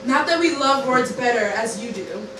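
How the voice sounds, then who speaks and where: A woman's voice: on the high side, lively intonation, moderate pace, a bit bright, faint music behind. A woman playing a defiant hive-mind army leader in an amateur Shakespeare parody radio play. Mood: taunting. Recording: roomy, slightly distant, noisy room recording.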